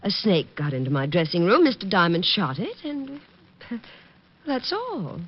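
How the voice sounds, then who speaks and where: An actress playing a high-strung, proud stage performer in an old radio drama, with a dramatic, emphatic delivery. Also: female voice, very animated, normal speed, lightly acted. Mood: shaken, guarded. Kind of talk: 1940s-50s radio drama acting. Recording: muffled, noisy old radio recording.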